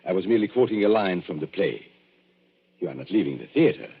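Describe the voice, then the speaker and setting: A smooth mocking magician, low husky voice that swoops all over the place, tinny old radio sound. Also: male voice, big pitch swings, fast, exaggerated voice. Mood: suave, mocking. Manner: urbane, theatrical, mocking. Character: suave, teasing male stage magician. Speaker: magician and escape artist. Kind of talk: 1940s radio drama acting.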